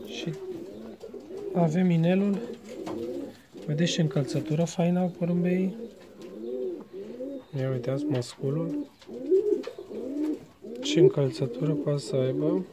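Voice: medium pitch, lively intonation, long pauses, over a noisy crowd. A speaker, casually narrating a show-and-tell vlog as a pigeon breeder, hesitant and often repeating phrases. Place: pigeon aviary. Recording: noisy, cooing pigeons around. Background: birds cooing, clear ambient noise.

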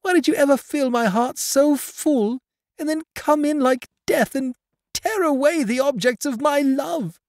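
Guy doing a desperate blind girl, way up high, begging and strained, slow and dry. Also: animated, darkish tone, no room sound. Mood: anguished, ecstatic. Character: young blind woman, anguished and yearning.

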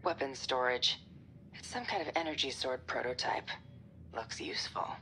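An actress playing a tough, guarded young soldier in a video game, speaking tersely and dryly. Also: female voice, extremely low pitch, lively intonation, normal speed, sharp tone, slight background noise, exaggerated voice. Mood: focused. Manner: terse, dry, controlled. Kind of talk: video game cutscene acting.

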